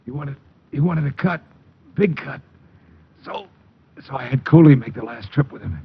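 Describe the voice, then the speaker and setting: An actor playing a panicky, ruthless crime boss with a strained, stammering, pleading delivery in a vintage radio drama. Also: male voice, medium pitch, moderate pace, creaky, husky. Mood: frightened pleading. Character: cornered crooked smuggling boss, panicky and ruthless. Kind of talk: 1940s-50s radio crime drama acting. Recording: dull old radio recording.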